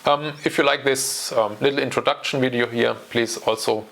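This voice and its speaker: A man's voice: mid-range voice, steady tone, normal speed, creaky, fairly bright tone. A German man in his forties with a German accent, hosting his own channel and talking to camera alone in a casual, hesitant way with many fillers. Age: 40s. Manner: hesitant, many ums and uhs. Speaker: channel host. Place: home.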